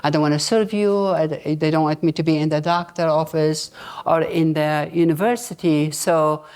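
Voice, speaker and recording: A middle-aged or older woman from Iraq, a native Arabic speaker with an Iraqi accent, telling her personal story at a live event in halting, non-native phrasing. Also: sits low, steady tone, a little mellow. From Baghdad, Iraq. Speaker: interview guest, nonprofit founder.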